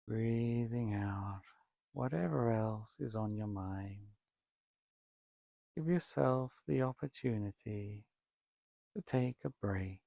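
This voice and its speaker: A man leading a guided meditation, speaking calmly and deliberately in short phrases with long pauses between them. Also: fairly low pitch, gravelly, no room sound. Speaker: meditation teacher, Buddhist monk.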